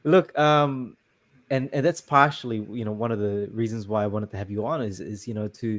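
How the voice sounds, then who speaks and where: A man's voice: lowish voice, fairly even intonation, normal speed, a bit bright. Hesitant, agreeable male host. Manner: hesitant, many restarts and fillers, agreeable. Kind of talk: casual interview chat.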